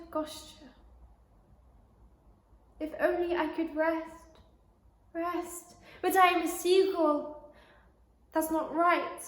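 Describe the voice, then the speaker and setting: Wounded, exhausted young stage heroine, played by a woman: high, slow, halting delivery, tragic monologue, echoey room. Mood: anguished, exhausted. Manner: halting, repeating, drifting between thoughts. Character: weary, wounded young actress clinging to faith.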